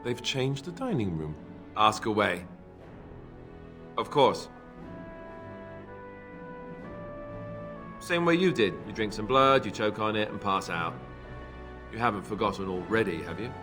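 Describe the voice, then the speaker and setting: An actor playing a young, self-deprecating warrior in a video game, casual and wry with an earnest streak. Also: male voice, on the low side, expressive, normal speed, long pauses, music in the background, lightly acted. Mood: teasing.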